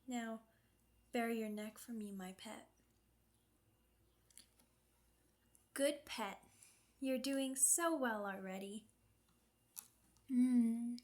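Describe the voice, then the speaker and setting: A woman performs a sadistic female vampire in an ASMR roleplay, her voice fairly high and very unhurried. She is seductive and commanding, speaking with teasing menace and condescending tenderness, as if to a possession. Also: a little mellow. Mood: predatory, teasing. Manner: slow, deliberate, condescending pet names, teasing menace. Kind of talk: ASMR roleplay, intimate scripted monologue.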